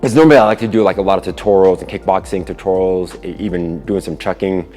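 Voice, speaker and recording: A man's voice: low-pitched, quick pace, mellow. A man telling a personal story in a casual, earnest, conversational way, as a video creator would. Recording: long hall reverb, music behind. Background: music.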